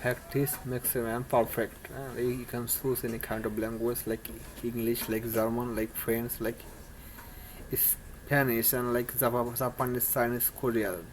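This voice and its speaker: A man with a Bangladeshi accent coaches beginners in spoken English, speaking slowly and in a flat, even tone. His phrasing is earnest and halting, full of restarts and broken grammar. A faint background hum sits under his voice. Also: mid-range voice, rough recording. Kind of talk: informal English lesson to camera.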